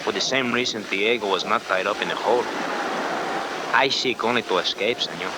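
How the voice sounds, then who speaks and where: An actor playing a gloating, oily Latin rogue with a Spanish accent and mocking politeness, in 1940s radio style. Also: male voice, fairly low pitch, brisk, lightly acted. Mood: sly, gloating. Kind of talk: 1940s radio adventure acting. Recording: very noisy old 1940s radio recording.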